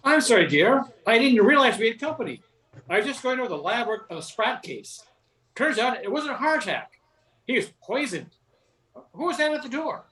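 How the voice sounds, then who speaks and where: A man's voice: very high voice, sing-song, normal speed, sharp tone, heavily acted, rough recording. An older man playing a hardworking, mild, apologetic suburban husband in his thirties in an amateur radio play. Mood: apologetic, matter-of-fact.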